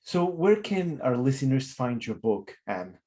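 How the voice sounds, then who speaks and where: A man hosts a podcast interview with a polite, affirming manner. He asks questions in a measured way and reads the closing words at a middle pitch. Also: sing-song, warm tone. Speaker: podcast host.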